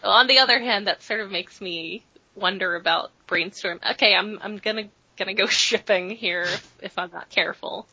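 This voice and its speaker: A woman hosting a podcast talks in a bright, somewhat husky voice. She rambles casually and fills her sentences with "uh" and restarts. The recording is dull and lo-fi, with a dry, close sound. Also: mid-range voice, moderate pace, hardly any pauses, no echo. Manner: chatty and rambling, full of fillers. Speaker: podcast host. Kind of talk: casual chat, recapping comics.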